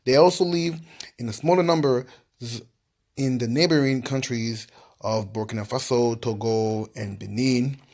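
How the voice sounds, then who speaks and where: A man's voice: mid-range voice, measured pace, darkish tone. A man from Ivory Coast casually talking through research as he reads from websites, filling gaps with "yeah" and "you know what I'm saying". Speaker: video host.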